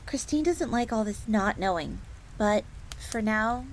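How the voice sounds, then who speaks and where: A woman's voice: a little high, unhurried. A woman playing an earnest, devoted young fox maid in a scripted fantasy roleplay audio drama, bookish and speaking of herself in the third person. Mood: puzzled, uneasy. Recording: steady ambient noise, crackling fire.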